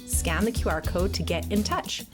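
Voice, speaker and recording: A woman's voice: on the low side, moderate pace. A woman giving a scripted promotional read as an advertising announcer, with music behind her.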